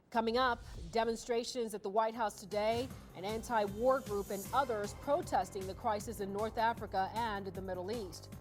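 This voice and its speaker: An American woman working as a TV news anchor, reading scripted news narration. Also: mid-range voice. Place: TV news studio.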